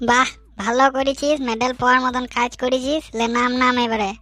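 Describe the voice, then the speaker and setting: A man's voice: very high voice, fairly even intonation, a little fast, pushed and bright, soft background music. A man voicing a bossy, teasing young village man in a comic cartoon, with a rural West Bengal accent.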